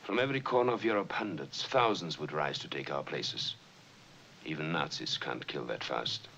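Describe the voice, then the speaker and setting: An actor playing an earnest, defiant resistance leader in a 1940s film, formal and eloquent. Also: male voice, very deep voice, normal speed, gravelly, sharp tone, heavily acted.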